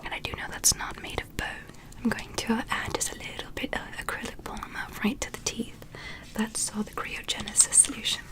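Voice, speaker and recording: A woman voicing a gentle, curious alien medical examiner in an ASMR roleplay, whispering softly and giving careful, soothing instructions. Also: on the high side, natural voice, poor audio. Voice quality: soft breathy whisper.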